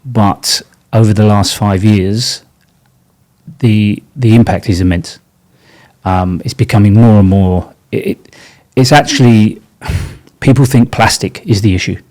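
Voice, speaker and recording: A man's voice: lowish voice, a little slow, darkish tone. A man speaking as a panel guest from industry, earnest, with frequent ums. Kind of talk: casual panel discussion.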